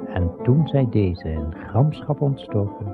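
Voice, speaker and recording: A man as a solemn, measured storyteller narrating a legend in a radio drama. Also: lowish voice, big pitch swings, exaggerated voice. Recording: dull old radio recording. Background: plucked guitar-like music.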